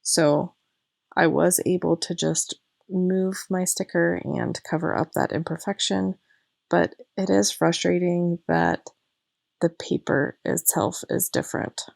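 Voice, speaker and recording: A woman in her thirties narrates a crafting session in a relaxed, chatty voice-over. Her voice is hoarse, pitched a little low and full of gravelly fry. She explains each step as she goes and is quick to point out her own mistakes, recorded close and dry with no room sound. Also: no room sound. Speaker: planner vlogger.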